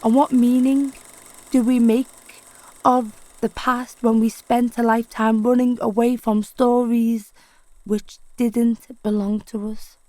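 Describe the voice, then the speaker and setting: A woman poet giving a slow, measured, solemn spoken-word poetry reading. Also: fairly high pitch, level tone, dark tone, no echo, rough recording.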